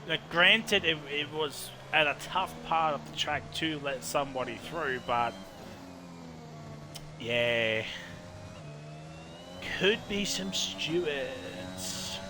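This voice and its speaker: A man commentating a live online racing league, reacting with quick exclamations and chatty asides. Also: fairly high pitch, animated. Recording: race game engine noise behind voice.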